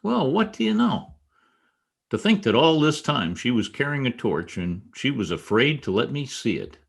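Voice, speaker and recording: A man playing a vain newspaper columnist in an old-time radio comedy, blustering and easily flustered by flattery. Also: medium pitch, moderate pace, vocal fry, breathy edge, exaggerated voice. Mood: smitten, pleased. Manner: animated, blustering, hesitant when flattered. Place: home, online video-call production.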